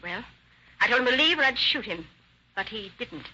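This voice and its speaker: A woman's voice: a little high, expressive, moderate pace, pushed and bright, slightly rough, heavily acted. An actress playing an evasive woman on trial for murder, testifying defensively in an old radio drama. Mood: nervous, defensive. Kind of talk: 1940s radio acting. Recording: muffled, hissy old radio recording.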